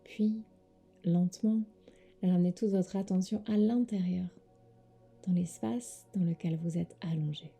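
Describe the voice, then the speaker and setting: Female meditation guide: soft, lowish, husky-breathy and creaky, very dark tone, soothing delivery with pauses over faint music. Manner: soothing, gentle instructions with pauses. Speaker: yoga nidra teacher.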